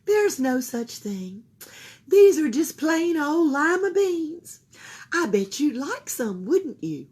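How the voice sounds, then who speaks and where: A woman voicing a sweet, kindly little old woman in a storybook, with a bright, chirpy manner. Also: high voice, sing-song, takes it slowly, voice pushed up, exaggerated voice. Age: older.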